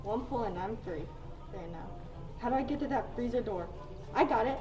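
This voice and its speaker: A woman's voice: fairly high pitch, expressive, moderate pace, music in the background, lightly acted. A woman playing a plucky, earnest young superheroine in a comic radio play. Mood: confident. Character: plucky young superheroine disguised as ordinary car wash worker. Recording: distant mic, faint noise.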